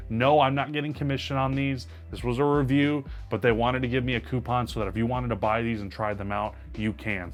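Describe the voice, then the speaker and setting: A man with a powerful voice giving an upbeat, emphatic product review to camera. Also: mid-range voice. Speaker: tech reviewer.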